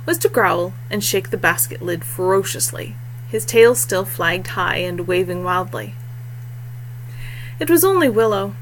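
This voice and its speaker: Woman reading a story, faint-noise recording. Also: mid-range voice. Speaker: author reading own fiction. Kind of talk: expressive audiobook-style story reading. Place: small room indoors. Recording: noisy home webcam recording with hum.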